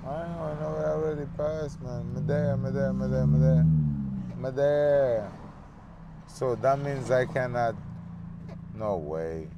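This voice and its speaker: A man in his thirties talking casually to the camera while he drives, musing slowly with lots of fillers. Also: medium pitch. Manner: relaxed, slow, drawn-out musing with many fillers. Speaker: dance show host. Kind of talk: casual driving vlog talk. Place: inside a car on a city street. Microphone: phone camera on the dashboard. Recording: road and engine noise.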